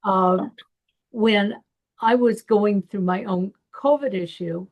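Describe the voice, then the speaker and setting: A woman with a gravelly voice hosting a podcast, telling a personal story in a reflective way. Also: mid-range voice, slow pace. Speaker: podcast host. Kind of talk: personal conversation.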